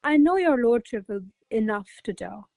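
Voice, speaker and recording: Actress as haughty noblewoman, higher-pitched, imperious, quick-witted, formal articulate radio drama delivery, dry close sound. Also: expressive, gravelly, darkish tone, dry recording. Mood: cool, ironic. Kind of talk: stage-style radio play acting.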